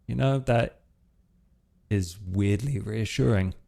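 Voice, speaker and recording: Man acting as male vampire hacker, low mellow voice, guarded, self-assured, hesitant phrasing, understated, tabletop role-play. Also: takes it slowly, creaky. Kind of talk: improvised role-play dialogue.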